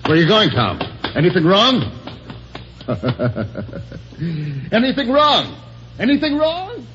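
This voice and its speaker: An actor playing a cruel man who taunts a coworker about the dark, speaking softly and menacingly in 1950s radio style. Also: high voice, very animated, heavily acted. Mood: mocking. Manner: soft, slow and menacing, then mocking calls. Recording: dull old radio broadcast with music.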